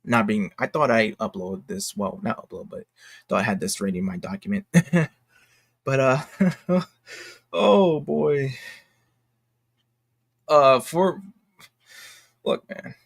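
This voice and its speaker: An American man giving casual, unscripted online commentary in a halting, repetitive, slangy way. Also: mid-range voice, creaky, slightly rough. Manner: halting and repetitive, slangy, addressing viewers as y'all. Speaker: online video commentator and host. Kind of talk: casual unscripted commentary, reading posts aloud.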